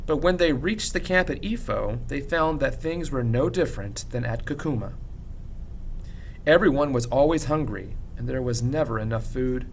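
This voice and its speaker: A man reads a story aloud like a teacher, in expressive narration with measured, dramatic pauses and emphasis. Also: mid-range voice, slight background noise, rough recording. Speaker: teacher reading a novel aloud. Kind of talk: expressive audiobook-style narration.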